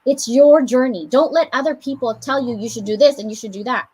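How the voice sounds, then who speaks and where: A woman from the Philippines with an American accent, co-hosting a casual chat and giving an animated motivational talk with joking asides. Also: a little high, fairly quick, husky.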